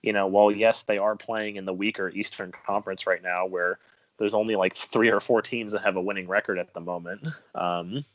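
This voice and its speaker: A man with a somewhat low voice co-hosts a sports podcast. He chats informally and offers his opinions, recorded dry with no room echo. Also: fairly even intonation, a little fast, lo-fi sound. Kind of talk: casual sports chat.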